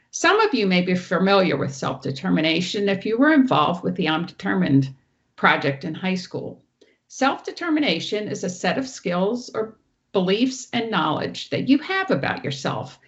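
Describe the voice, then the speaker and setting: A woman presenter with a loud teacher voice, giving an online training talk and walking through each slide. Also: medium pitch, sing-song, normal speed, lo-fi sound. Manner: explains clearly, walks through each slide. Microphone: online meeting audio.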